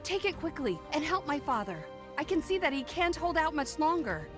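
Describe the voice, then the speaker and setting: A woman's voice: high voice, sing-song, normal speed, over loud music. A young woman playing an earnest, sweet bride and heroine in a fairy-tale video game, with theatrical delivery. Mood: earnest, urgent. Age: young adult. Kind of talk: dramatic video game acting.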